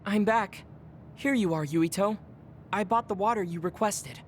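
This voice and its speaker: A woman's voice: medium pitch, a little slow, background noise, close to an everyday voice. A woman playing a polite, formal, dutiful young teammate in an anime game, courteous. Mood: polite, composed. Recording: music clearly behind the voice.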